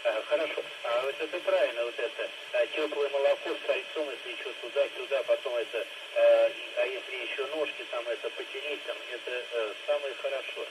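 A man's voice: medium pitch, fairly even intonation, fairly quick. A man chatting casually as a radio operator, rambling and hesitant with many fillers. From Russia. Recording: noisy two-way FM radio, loud static.

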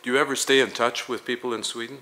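A man interviewing a guest for a promotional video, asking polished, leading questions at a measured pace. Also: medium pitch, fairly even intonation, sharp tone, rough recording.

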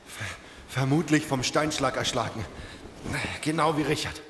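A man's voice: medium pitch, measured pace, a bit bright. A man playing a hard-headed, commanding survivor in a horror audio drama. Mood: grim, determined. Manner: urgent, commanding. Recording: long echoing reverb, clear noise.

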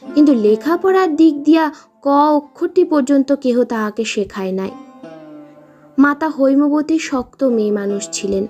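A woman reading literary fiction aloud in a measured, expressive storytelling manner. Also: sits high, muffled recording, soft background music. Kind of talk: scripted literary narration.

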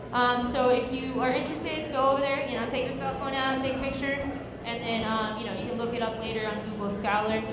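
A woman giving a casual science talk to a live audience at a pub event, explaining step by step with lots of fillers, speaking into a handheld mic. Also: on the high side. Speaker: guest speaker. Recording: echoey hall, loud crowd chatter.

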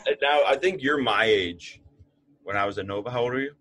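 Husky, blunt-talking man in his late twenties. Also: mid-range voice, fairly quick. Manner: blunt, swears freely, animated storytelling. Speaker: podcast co-host, strength coach. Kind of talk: casual chat.

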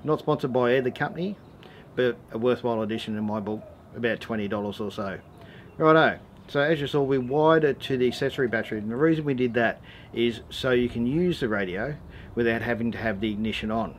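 A man's voice: medium pitch, fairly quick. An Australian man in his forties giving a casual, matter-of-fact DIY tutorial, speaking in a laid-back, slangy way. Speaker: host of a how-to four-wheel-driving channel. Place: outdoors in a driveway and around vehicles. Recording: noticeable background ambient noise.

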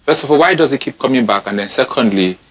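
A man in his thirties with a Nigerian accent hosts a studio talk show. His voice sits at a medium pitch as he puts questions in a conversational way. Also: lively intonation, fast. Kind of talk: conversational interviewing.

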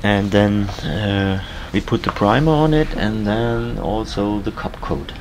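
Older male sailing vlogger, German accent, lowish voice, halting casual explanations, noisy boatyard recording. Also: animated. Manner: halting, searching for words. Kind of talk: casual explanation of boat work.

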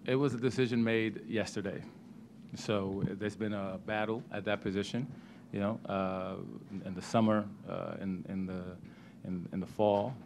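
A middle-aged man, a head basketball coach, answering postgame questions at a press conference table microphone, measured and restarting words as he thinks. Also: on the low side, fairly even intonation, background noise. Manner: measured, repeats words when starting sentences. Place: press room.

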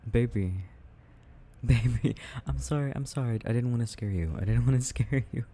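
A man playing a tender, doting boyfriend in an intimate, rambling ASMR roleplay, murmuring softly and affectionately with gentle teasing. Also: medium pitch, normal speed, close to an everyday voice. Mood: tender, apologetic. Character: tender, doting boyfriend soothing his sleepy partner at night.

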